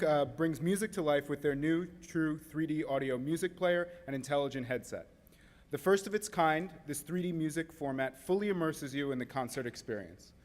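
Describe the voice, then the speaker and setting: A fairly high-voiced man delivers a scripted product introduction on stage. He reads it out in a promotional manner, with long hall reverb around his voice. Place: large indoor conference stage.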